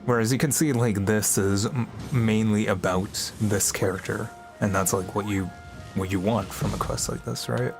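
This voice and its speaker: A man's voice: lowish voice, steady tone, moderate pace, gravelly, over music. A man livestreaming a game with casual, chatty commentary.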